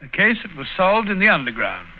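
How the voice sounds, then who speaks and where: A high-pitched, amiable older English gentleman, fussy and a little halting as he talks. It is old radio drama, thin as a telephone line with clear noise over it. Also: big pitch swings, exaggerated voice. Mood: genial, reminiscing. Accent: British English. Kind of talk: 1940s radio acting. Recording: noisy, phone-like 1940s radio recording.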